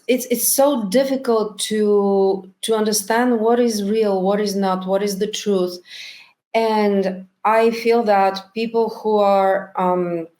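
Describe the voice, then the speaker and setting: A middle-aged woman whose first language is Bulgarian, a documentary director answering interview questions slowly and thoughtfully, often pausing to search for words. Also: mid-range voice, a little mellow.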